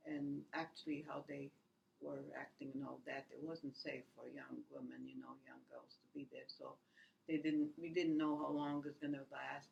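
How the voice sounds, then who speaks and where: Brief: woman, 80s, gravelly fry, halting reminiscence. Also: a bass voice, darkish tone, highs cut off. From Latvia. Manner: halting, many restarts and "you know" fillers. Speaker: oral history interviewee. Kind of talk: rambling personal recollection.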